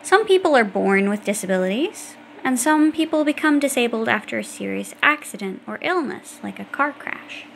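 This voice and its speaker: A woman's voice: a little high, expressive, normal speed, gravelly, few pauses, a little hiss or hum. A woman reading an educational children's book aloud, explaining things directly to young listeners. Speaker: audiobook reader.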